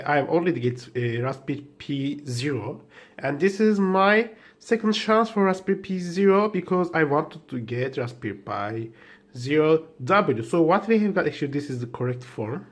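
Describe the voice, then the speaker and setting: Guy with turkish as his first language, kinda high voice, slow and hesitant, just woke up, unboxing stuff. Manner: hesitant, halting, with many fillers and restarts. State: just woken up. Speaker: tech vlogger. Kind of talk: unboxing commentary.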